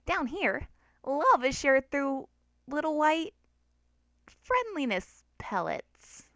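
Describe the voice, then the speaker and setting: A woman's voice: high-pitched, very animated, takes it slowly, sharp tone, dry recording, voice pushed up, exaggerated voice. A two-faced talking flower from a storybook, acted out by a woman reader. The delivery is emphatic and stretched out, feigning cute friendliness over gleeful malice. The sound is dry and close. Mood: sugary, coaxing.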